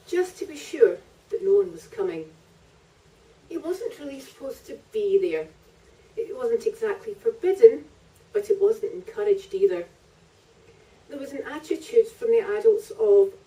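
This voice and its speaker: Woman reading her novel out loud, really mellow muffled sound, bit far from the mic. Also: mid-range voice. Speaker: author reading from own book. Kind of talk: literary reading aloud of narrative prose. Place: live festival reading in a library venue. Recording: muffled, distant microphone at live event.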